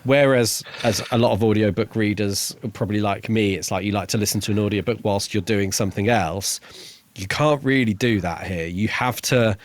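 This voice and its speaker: A man co-hosting a podcast, talking casually about a book in a halting way, building each thought piece by piece. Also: lowish voice, no room sound. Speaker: podcast co-host reviewing a book. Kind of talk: casual conversational book discussion.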